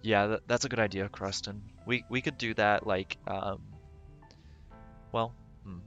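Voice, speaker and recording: A man streaming a game, rambling casually and thinking aloud with lots of fillers, his voice going raspy. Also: fairly low pitch, fairly even intonation, a little slow, fairly bright tone, highs cut off, faint music behind. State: voice getting raspy. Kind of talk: casual gameplay livestream commentary.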